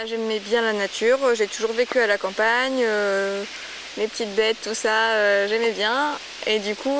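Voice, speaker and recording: A woman's voice: on the high side, a little hiss or hum, rough recording. A young woman in her twenties from Normandy, talking about her ecology fieldwork in a documentary interview, hesitant and full of fillers and restarts. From Normandy, France. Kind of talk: filmed documentary portrait interview.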